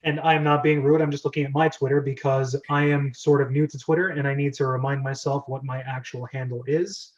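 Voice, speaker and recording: A man's voice: mid-range voice, level tone, fairly quick, few pauses. A man hosting a casual group chat in a chatty, rambling, enthusiastic way. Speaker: host of a script-reading club. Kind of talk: casual group chat over video call. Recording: dull, lo-fi call audio.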